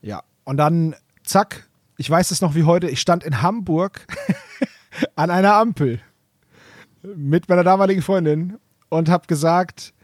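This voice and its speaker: Higher-voiced man in dry-sounding podcast chat. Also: measured pace, long pauses, no echo. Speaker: podcast co-host.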